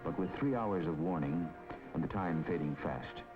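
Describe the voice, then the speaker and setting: A man with an American accent gives grave, deliberate scripted narration in the style of a 1950s documentary. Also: low voice. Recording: dull 1950s film soundtrack, loud music behind.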